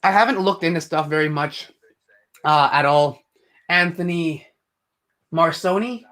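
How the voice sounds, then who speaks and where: A man's voice: on the high side, measured pace, sharp tone. Chatty young man with a raised voice. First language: English. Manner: rambling, chatty, many fillers and restarts. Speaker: live stream host. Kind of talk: casual live commentary chat.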